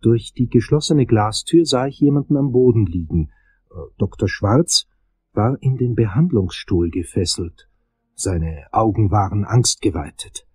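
A man playing a hard-boiled investigator, narrating a crime audio drama in a husky, dramatic, suspenseful way. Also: on the low side, animated, measured pace, a little mellow, no echo. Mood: tense, brooding. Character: hard-boiled male investigator telling his dark case.

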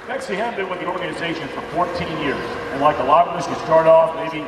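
A man's voice: a little high, fast talker. A man emceeing a fan event, introducing a panel with upbeat, rallying announcer energy, recorded from the audience in a large museum hangar. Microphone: distant camera in the audience. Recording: roomy hangar echo, far from mic, crowd noise.

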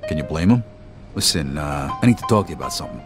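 An actor playing a young, level-headed American gangster who talks in a terse, guarded, matter-of-fact way in a video game. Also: male voice, a bass voice, expressive, fast talker. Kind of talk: dramatic video game acting. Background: music.

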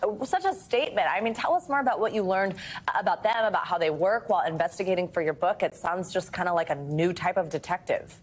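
A woman's voice: lowish voice, expressive, fast, husky. An American woman in her thirties anchoring the news, reading and interviewing in a broadcast style. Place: TV news studio.

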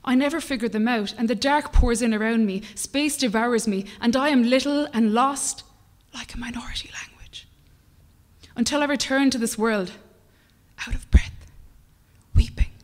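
A young Irish woman poet reading her work aloud in a measured, rhythmic way at a lectern microphone, at times dropping to a whisper. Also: on the high side, big-room sound. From Ireland. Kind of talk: poetry reading. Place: small brick-walled performance venue, indoors. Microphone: stand mic at a lectern.

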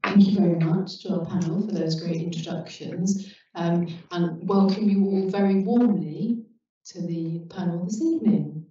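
A woman's voice: lowish voice, normal speed, warm tone. A woman moderating a university panel, polite and welcoming as she hands questions to the speakers. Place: university seminar room with audience. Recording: very echoey room, far from mic, dull.

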